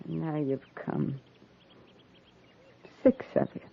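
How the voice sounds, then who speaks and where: An actress playing a bitter, wounded frontier widow in a 1950s radio drama, halting and emotional. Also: female voice, low-pitched, sing-song, gravelly, husky, exaggerated voice. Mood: bitter, accusing. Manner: halting, emotional, plain-spoken. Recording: thin, phone-like 1950s radio recording.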